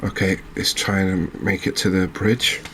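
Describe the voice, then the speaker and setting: A man giving relaxed ASMR commentary over a fishing video game, chatting to viewers and often checking in with tag questions. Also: sits low, a little slow, slight background noise. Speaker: gaming video host.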